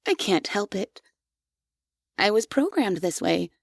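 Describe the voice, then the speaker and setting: A woman voicing a devoted, obsessive female maid robot in a scripted ASMR roleplay. She speaks softly and in measured phrases, close to the mic. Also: mid-range voice, big pitch swings, gravelly, no room sound, full character voice. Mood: eager, obsessive.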